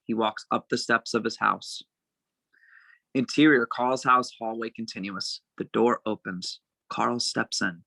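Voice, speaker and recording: A man narrating a screenplay's stage directions at a table read, with a gravelly voice. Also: medium pitch, fairly even intonation, unhurried, sharp tone, dry recording.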